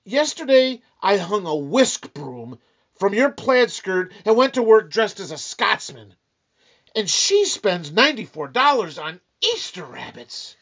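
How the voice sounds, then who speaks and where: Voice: medium pitch, big pitch swings, measured pace, a bit bright, full character voice. An actor playing a weary, sarcastic, penny-pinching husband in an old-time radio comedy, grumbling and blustering wryly. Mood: outraged. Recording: dull, lo-fi band-limited sound.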